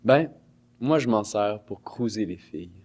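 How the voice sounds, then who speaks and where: A man's voice: lowish voice, expressive, a little slow, a little mellow. A young man delivering cheeky, joking lines in a scripted comedy sketch. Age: young adult. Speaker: youth project member.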